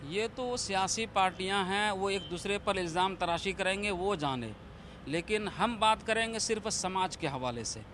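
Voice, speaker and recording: High-voiced man, an imam answering formally. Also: lively intonation, normal speed, noisy background. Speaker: mosque imam, interviewee. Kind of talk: measured interview answers.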